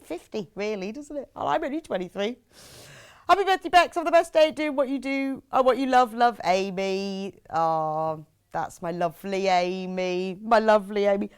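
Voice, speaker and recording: A woman's voice: on the high side, clear, resonant tone. Middle-aged woman, British accent, very varied intonation, chatty and rambling, live craft shopping presenter, informal, frequent tag questions. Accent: British English. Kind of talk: live shopping chat, reading viewers' messages.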